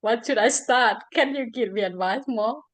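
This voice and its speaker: A woman's voice: on the high side, moderate pace. A woman from Thailand with a Thai accent, speaking casually and hesitantly in non-native English, often giggly. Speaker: participant in a public speaking class. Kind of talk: casual, unscripted speaking in a group exercise.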